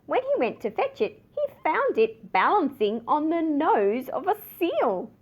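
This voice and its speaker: A woman reading a children's picture book aloud with expressive, dramatic emphasis. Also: high voice, measured pace, muffled recording. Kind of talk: scripted children's story narration.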